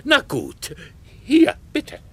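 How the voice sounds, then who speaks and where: Man acting old Santa Claus, flustered, excitable, slow-paced, swooping pitch, children's audio drama. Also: exaggerated voice. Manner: dithering, lamenting, excitable. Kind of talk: children's radio play acting.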